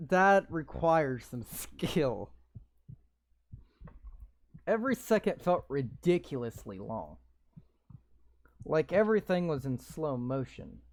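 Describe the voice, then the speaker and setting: A man playing a visual novel, reading its text aloud and breaking in with sarcastic, swearing comments. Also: mid-range voice. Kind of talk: reading game text aloud with live reactions.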